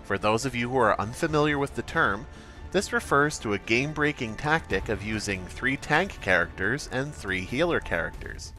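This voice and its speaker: A man's voice: medium pitch, sharp tone. A man playing an earnest, creepily awkward game developer who hesitates sheepishly through a parody update presentation.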